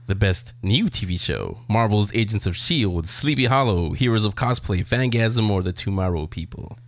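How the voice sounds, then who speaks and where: A man's voice: on the low side, expressive, normal speed, dry recording. A man hosting a casual interview show, chatting in a joking, wisecracking way.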